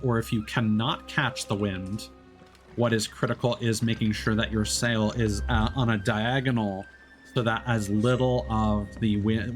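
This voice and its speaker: A man with a low voice talks through his gameplay in a loose, unhurried way, pausing on fillers as he explains. Faint game music plays underneath. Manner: relaxed, explanatory, frequent fillers. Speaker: streamer. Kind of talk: casual gameplay commentary.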